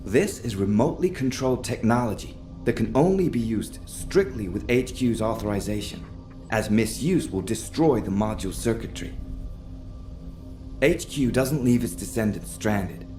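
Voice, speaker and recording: A man's voice: on the low side, expressive, moderate pace, a little mellow, roomy sound, soft background music, close to an everyday voice. An actor playing a helpful, earnest male engineer in a video game, explaining things in a friendly way. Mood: reassuring. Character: helpful, earnest male tech engineer, easily tempted by cookies.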